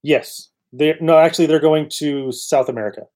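A man's voice: on the high side, moderate pace, darkish tone. A man co-hosting a casual chat show, with a dry, joking way of talking. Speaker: podcast co-host. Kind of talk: casual chat reviewing old radio serials.

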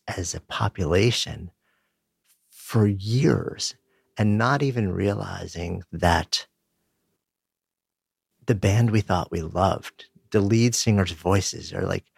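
A man's voice: low voice, vocal fry, slightly rough, no echo, clean studio sound. A man hosting an interview, asking thoughtful, probing questions. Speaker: interview host. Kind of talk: interview conversation.

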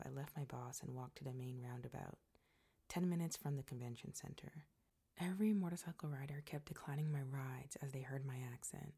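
A woman narrating a personal story in a soft, breathy, measured way. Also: deep voice.

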